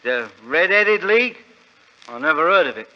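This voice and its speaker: An actor playing a proud, naive middle-aged London pawnbroker, British, plain-spoken and hesitant, in 1940s radio drama style. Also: male voice, fairly high pitch, sing-song, normal speed. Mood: doubtful, curious. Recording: dull vintage radio recording.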